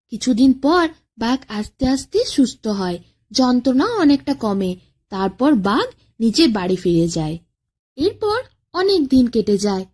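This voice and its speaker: A woman tells a scripted children's folk tale in a high voice. Her pitch swings widely as she narrates, with an expressive, dramatic bedtime-story delivery.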